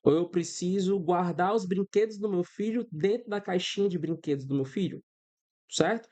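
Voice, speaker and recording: A man's voice: sits high, normal speed, warm tone. A Brazilian man in his thirties teaching a coding class step by step, checking in with his students often and using everyday examples. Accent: Brazilian Portuguese. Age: 30s. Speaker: programming instructor. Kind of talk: screen-recorded coding lesson.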